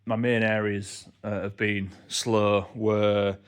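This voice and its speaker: A man's voice: lowish voice, level tone, slow pace, smooth. A man giving a casual, understated vlog debrief in a somewhat hesitant way. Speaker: vlogger, amateur racing driver.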